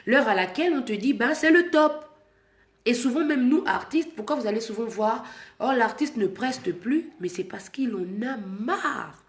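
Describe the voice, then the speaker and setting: A woman with the flu talks to her fans, slowly and with repeated restarts. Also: medium pitch, echoey room. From Gabon. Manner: starts quiet and subdued, grows loud and insistent when explaining. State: sick with flu. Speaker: singer addressing her fans. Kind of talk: direct-to-camera talk to fans.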